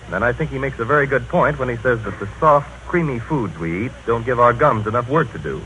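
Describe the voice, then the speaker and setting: An actor playing an earnest, polite audience member giving a rehearsed toothpaste testimonial in a 1930s radio sketch, on an old recording. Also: male voice, medium pitch, lively intonation, fast talker, bright, forceful tone, a little hiss or hum, rough recording. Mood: earnest, helpful.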